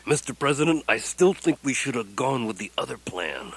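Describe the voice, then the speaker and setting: Scheming aide with lively intonation. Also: male voice, mid-range voice, normal speed, husky, heavily acted, low-quality recording. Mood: conspiratorial. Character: scheming, gung-ho male presidential aide. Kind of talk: satirical radio play acting.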